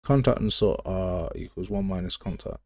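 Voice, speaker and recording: Man, English Midlands accent, very deep voice, dry close sound, casual livestream tutorial, rambling, blunt, informal. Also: moderate pace, dark tone, no echo. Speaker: game development livestreamer. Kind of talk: casual live tutorial chat.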